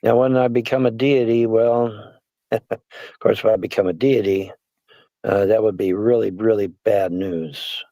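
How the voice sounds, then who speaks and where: A man's voice: lowish voice, fairly even intonation, unhurried, mellow, no echo. A man in his sixties, rambling and self-deprecating as he hosts a casual livestream chat. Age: 60s. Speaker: livestream host.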